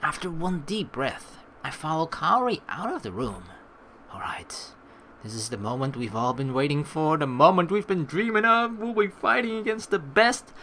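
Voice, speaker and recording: Animated, bright-voiced male let's player. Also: sits high, slight background noise. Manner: excitable, dramatic narrating, casual swearing. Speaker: let's play host. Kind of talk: animated game read-aloud and commentary.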